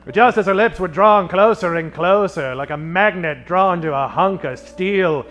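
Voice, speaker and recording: A man's voice: high-pitched, fairly bright tone. A man narrating a tongue-in-cheek 1940s-style radio detective show, melodramatic, drawing out his punchlines. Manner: theatrical, melodramatic, drawn-out punchlines. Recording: music playing behind the voice.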